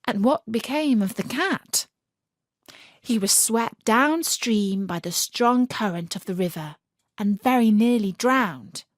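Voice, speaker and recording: A woman storyteller narrating a scripted tale for children in a measured, expressive way. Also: fairly high pitch, no echo, studio quality.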